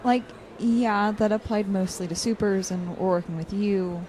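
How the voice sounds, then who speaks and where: A woman's voice: medium pitch, fairly even intonation, moderate pace, a little mellow, a little hiss or hum, lightly acted, poor audio. A woman playing a studious, guarded college student with a dry, slightly hesitant delivery, in naturalistic audio drama. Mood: firm, careful. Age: young adult.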